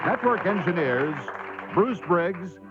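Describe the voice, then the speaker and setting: A man reading scripted radio credits as an announcer, formally and deliberately. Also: high-pitched, lively intonation, over a noisy crowd. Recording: muffled radio broadcast over loud music.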